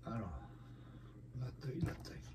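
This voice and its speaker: A man's voice: lowish voice, expressive, normal speed, noisy background. A man with a head cold, casually reviewing a collectible figurine for viewers, hesitating often. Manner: hesitant, many "euh" fillers, speaks to viewers. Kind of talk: casual unboxing vlog.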